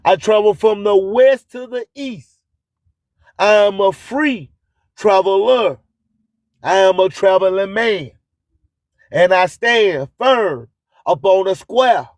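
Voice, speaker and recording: A male radio host speaks in a high voice at a slow, deliberate pace. He delivers motivational spoken word with preachy emphasis, returning to catchphrases. The sound is close and dry. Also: dry recording.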